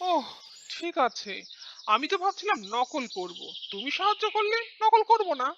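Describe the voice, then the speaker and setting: A woman voicing a calm, haughty cat pupil in a cartoon, with meows in the talk. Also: very high voice, moderate pace, muffled recording, background noise. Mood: agreeable. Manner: meows before speaking.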